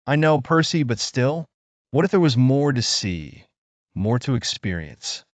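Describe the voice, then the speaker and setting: A man's voice: mid-range voice, sing-song, unhurried, no room sound. A man voicing a wistful, earnest young female mango in a children's storybook. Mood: wistful, doubtful.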